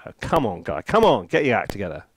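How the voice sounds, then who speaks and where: A man's voice: on the low side, huge pitch swings, fast talker. A man giving a casual tutorial commentary as a composer at work, thinking aloud in a playful, self-deprecating way. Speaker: composer reviewing a sample library.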